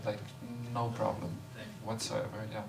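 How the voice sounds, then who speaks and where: A man in his fifties, a visiting monk, speaking in a relaxed, unhurried way with light laughs as he introduces himself in a temple hall. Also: on the low side, vocal fry. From Croatia. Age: 50s. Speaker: visiting monk giving a talk. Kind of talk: informal talk, introducing himself. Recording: echoey room, voice far from mic, background noise.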